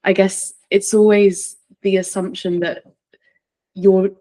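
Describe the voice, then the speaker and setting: A woman's voice: mid-range voice, level tone, slow, dark tone. A woman hosting a podcast, talking in a casual, conversational interviewing style. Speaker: podcast host, yoga teacher.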